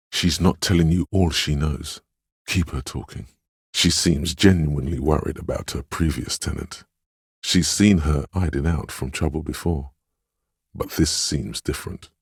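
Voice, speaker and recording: A super low, really raspy inner voice from a video game, gentle n perceptive, hushed solemn delivery, dry close sound. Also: male voice, dry recording, exaggerated voice. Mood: gently perceptive. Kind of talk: scripted game narration, intimate inner monologue.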